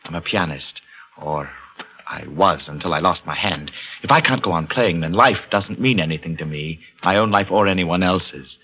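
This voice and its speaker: An actor playing an obsessed, half-mad pianist in a 1940s radio horror drama, with an intense, brooding, theatrical delivery. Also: male voice, low-pitched, moderate pace, sharp tone, lo-fi sound. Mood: coldly insistent. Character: obsessed, half-mad pianist who lost his hand. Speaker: lead actor and first-person narrator.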